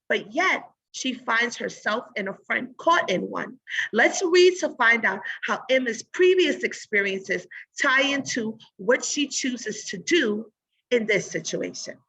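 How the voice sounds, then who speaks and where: A woman teacher giving a read-aloud lesson in an instructive way, pausing to think aloud and pose guiding questions. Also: a little high, a bit bright, slightly rough, low-quality recording.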